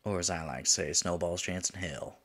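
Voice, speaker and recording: A man playing a teasing, flirtatious demon lover in an intimate ASMR roleplay, with a drawling manner that drops into breathy murmurs. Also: sits low, a little fast, a bit bright. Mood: playful, flirtatious. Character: teasing, flirtatious male demon lover, smug yet smitten.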